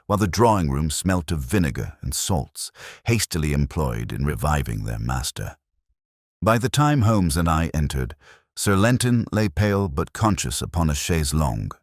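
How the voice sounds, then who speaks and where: A man narrating a scripted mystery story in a measured, formal literary way. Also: extremely low pitch, dry recording, studio quality. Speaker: audiobook narrator.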